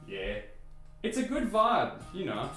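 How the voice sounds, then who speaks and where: A man with a low speaking voice talks in a relaxed, rambling way, joking at his own expense and dropping filler words as he goes. His voice carries a noticeable echo from the room around him. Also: slow pace, faint music behind. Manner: easygoing, rambling, self-deprecating, many "you know" and "uh" fillers. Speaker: VTuber streamer. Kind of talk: casual chat to stream viewers while restringing a guitar.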